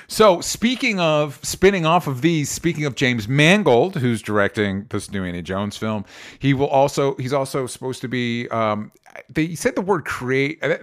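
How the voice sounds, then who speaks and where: Casual man chatting about movies. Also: medium pitch. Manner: informal, profane, repeats phrases. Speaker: co-host of a movie news show.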